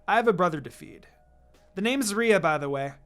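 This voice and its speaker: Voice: mid-range voice, lively intonation, moderate pace, natural voice. A narrator voicing an irritated, sassy young girl with a snappy, teasing delivery in a fan fiction story, over music. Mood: smug, teasing. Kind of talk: acted fan fiction dialogue.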